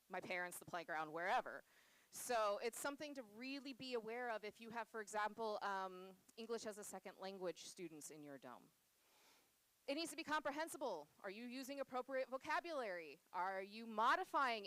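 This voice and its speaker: A woman in her thirties from the United States presents at a conference in a chatty, self-deprecating way, with plenty of fillers. Her voice sits a little high and sounds smooth and crisp. The sound is dry and close. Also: a bit bright, no room sound. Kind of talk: conference talk. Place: conference room.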